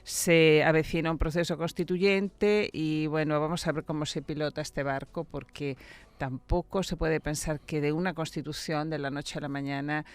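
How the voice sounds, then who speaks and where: A woman from Venezuela answers a radio interviewer's questions in a thoughtful, measured way, often repeating a word as she gathers her point. Her voice is smooth and resonant and she sounds close to the studio microphone. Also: sits low, fairly even intonation. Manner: reflective, measured, with repeated words. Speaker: political scientist guest.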